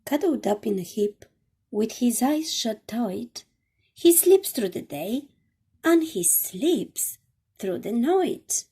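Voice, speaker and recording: Woman doing kids storytime, really husky n breathy, super slow, dramatic phrasing. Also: fairly high pitch, expressive, mellow. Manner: dramatic, deliberate phrasing for children. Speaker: storybook reader. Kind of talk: expressive children's storytime read-aloud.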